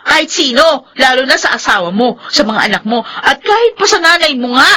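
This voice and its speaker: An actress playing a jealous, domineering partner in a radio drama, scolding and confrontational. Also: female voice, high-pitched, animated, fairly quick, sharp tone. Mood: controlling. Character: shrill, jealous, domineering nagging live-in partner. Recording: noisy radio drama scene.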